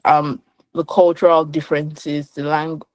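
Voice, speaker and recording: A woman's voice: low voice, level tone, measured pace, darkish tone, dry recording, rough recording. A young woman with a Nigerian accent, a guest in an interview, explaining things earnestly and often restarting her sentences. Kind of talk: conversational interview.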